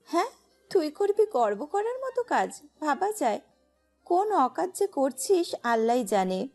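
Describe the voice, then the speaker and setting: Audio story role: woman as needling, mocking kinswoman, high voice with animated swings. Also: a little slow, soft background music, exaggerated voice. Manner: sarcastic, needling. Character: mocking, teasing female relative, scornful.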